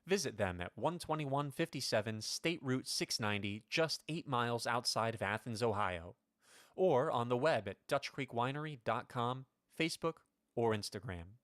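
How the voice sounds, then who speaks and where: Give me a male radio announcer with a medium-pitched voice reading sponsor copy, polished and promotional, recorded very dry. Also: animated, moderate pace, fairly bright tone, dry recording.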